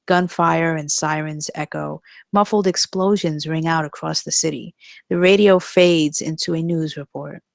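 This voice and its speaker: A woman's voice: deep voice, fairly even intonation, a little slow, vocal fry, no echo. A woman reading out scripted stage directions as narrator at a table read.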